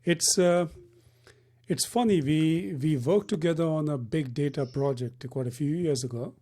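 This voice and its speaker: Male interview host, friendly and chatty, warm mellow tone, a bit high. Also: moderate pace. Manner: friendly, chatty, filler-heavy questions. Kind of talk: casual interview.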